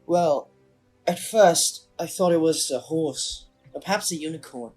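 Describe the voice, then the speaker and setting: An actor voicing an earnest teenage schoolboy in an audio drama, with a halting, questioning manner. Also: mid-range voice, a little mellow, faint music behind, close to an everyday voice. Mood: troubled, halting. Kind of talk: scripted audio drama acting.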